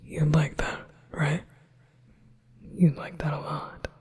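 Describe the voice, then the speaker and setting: A man's voice: fairly high pitch, flat delivery, husky, heavily acted. A man whispering as a possessive yandere demon. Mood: hypnotic. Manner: slow soothing whisper. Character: possessive manipulative male demon offering a contract. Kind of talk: ASMR hypnosis roleplay.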